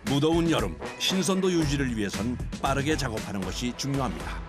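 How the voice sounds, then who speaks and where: A man's voice: mid-range voice, expressive, brisk. A man reading scripted documentary narration in Korean, with music playing behind him. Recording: loud music bed behind voice.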